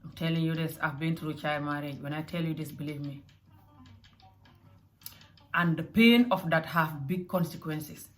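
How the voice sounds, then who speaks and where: Woman vlogger, mixed African-Scottish accent, low voice, earnest and repetitive, faint music under her. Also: moderate pace, pauses often. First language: not English. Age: adult mother. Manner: earnest, repeats phrases, emphatic. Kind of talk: motivational talk to camera.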